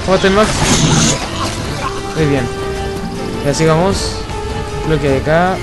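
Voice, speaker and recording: Chilean gamer over loud game music. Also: mid-range voice, measured pace. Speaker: video game player recording gameplay. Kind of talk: casual group gameplay chat.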